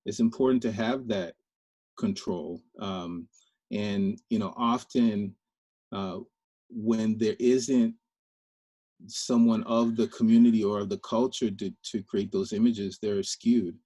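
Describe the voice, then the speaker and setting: A man from Liberia speaking on a panel discussion, thoughtful and halting, with many fillers and restarts. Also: on the low side, steady tone, mellow, slightly rough, dry recording. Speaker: filmmaker panelist.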